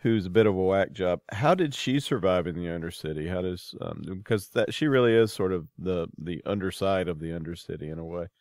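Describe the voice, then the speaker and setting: A man's voice: low voice, fairly quick, few pauses, darkish tone, dry recording. A man hosting a casual book interview, hesitant and feeling for his words. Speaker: podcast host.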